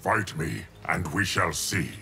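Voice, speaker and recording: A very deep, slow and solemn immortal delivers formal fighting-game lines. He is an unshakeable guardian of time, resonant and measured, with music behind him. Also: expressive, gravelly, bright, forceful tone, heavily acted. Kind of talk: video game fight dialogue.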